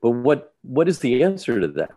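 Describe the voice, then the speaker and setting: A man, a journalist, talking casually on a podcast, pausing and restarting his sentences often. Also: mid-range voice, very animated, mellow, no room sound, studio quality. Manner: halting, many restarts and repeated words.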